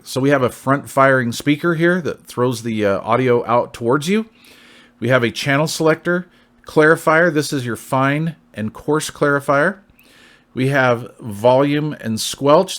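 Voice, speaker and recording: A middle-aged man giving a casual hobbyist product review in a plain-spoken, conversational and reassuring way. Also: medium pitch.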